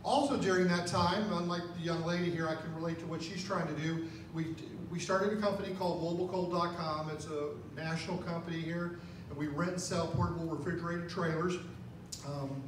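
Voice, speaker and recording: Quick, joking man in an echoey room. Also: fairly high pitch. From Florida. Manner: jokey, self-deprecating. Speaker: business owner, former police officer. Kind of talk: joking self-introduction. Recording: echoey room, mic far off, noisy.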